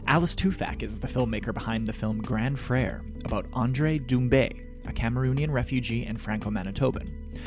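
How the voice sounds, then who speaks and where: A man hosting a podcast, reading a scripted intro and then asking relaxed, easygoing interview questions. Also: mid-range voice, normal speed, over music. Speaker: podcast host.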